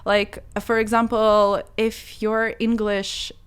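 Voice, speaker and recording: Ukrainian-accented woman, smooth voice. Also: mid-range voice, level tone, takes it slowly. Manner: chatty, many "like" fillers, laughs often. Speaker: immigration lawyer guest. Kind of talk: casual interview chat.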